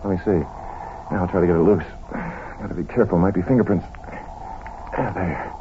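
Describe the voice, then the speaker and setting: A narrow, noisy vintage radio recording opens onto a man playing a calm detective who takes charge. The voice is on the low side, brisk and deliberate, with an American accent and old-time radio delivery. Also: lightly acted. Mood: grave, businesslike. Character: calm methodical male doctor detective taking charge. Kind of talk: 1940s radio acting.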